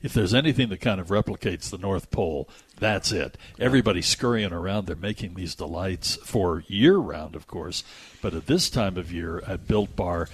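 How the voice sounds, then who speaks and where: A man's voice: low voice, animated, normal speed, husky. A man hosting a radio show with casual, chatty enthusiasm, repeating phrases for emphasis.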